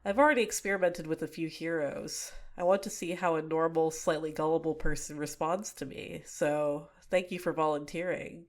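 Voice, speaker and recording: A young woman voicing a cute but manipulative female villain in a soft-spoken, teasing ASMR roleplay, recorded close to the mic. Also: low-pitched, fairly even intonation, moderate pace, hardly any pauses, lightly acted. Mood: smug, explaining.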